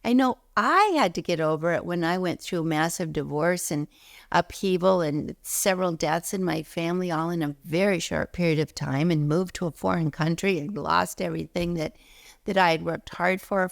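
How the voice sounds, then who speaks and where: A middle-aged woman, a spiritual teacher, speaking in an earnest teaching talk, a little hoarse while recovering from illness, slowing down to stress words. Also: lowish voice, animated, no echo, clean studio sound. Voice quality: froggy, gravelly.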